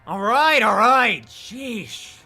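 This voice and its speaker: A man's voice: very high-pitched, lively intonation, extremely slow, sharp tone, slightly rough, soft background music, exaggerated voice. A man voicing a smug, sarcastic thief in a fan audio drama, with a sly mocking drawl. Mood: evasive.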